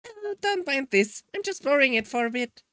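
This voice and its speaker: A man voicing an excitable, carefree young woman from a visual novel in a playful, breezy manner. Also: very high voice, brisk, no echo, studio quality, pitched above the performer's own voice, heavily acted. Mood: boisterous, playful.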